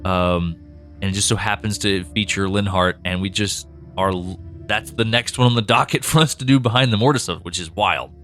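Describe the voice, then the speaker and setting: A man's voice: fairly low pitch, normal speed, fairly bright tone, soft background music. A man co-hosting a podcast and chatting casually, rambling with frequent restarts. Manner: rambling, restarts often, laughs easily. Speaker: co-host and writer.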